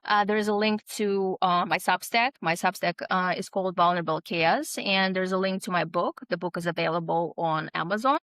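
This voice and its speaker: Woman, thoughtful halting talker, dry close sound. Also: on the low side, a bit bright, dry recording. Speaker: podcast guest, author. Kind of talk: reflective conversational interview.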